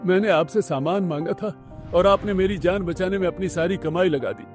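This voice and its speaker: Man acting young cartoon husband, earnest, pleading, higher-pitched, music playing behind. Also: fairly quick, natural voice. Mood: remorseful.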